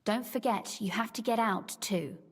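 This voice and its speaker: A woman's voice: on the high side, normal speed, breathy edge, big-room sound. An actress playing a shrewd, teasing young medieval noblewoman in a video game, speaking in articulate, formal phrases. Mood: wry, confident. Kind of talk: scripted game dialogue.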